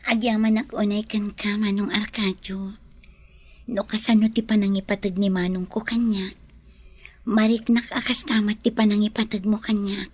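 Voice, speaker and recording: A woman with an Ilocano accent playing the confident lady of a big house in a radio drama, with a slightly husky voice. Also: medium pitch, level tone, normal speed, close to an everyday voice. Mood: touched, gentle.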